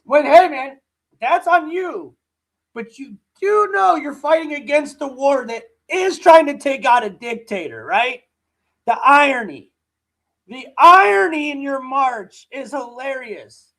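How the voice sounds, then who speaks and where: A man's voice: very high voice, lively intonation, unhurried, bright, forceful tone, low-quality recording. A man hosting a livestream, giving mocking live reaction commentary with a sore throat. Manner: mocking, laughing ranting. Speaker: livestream host.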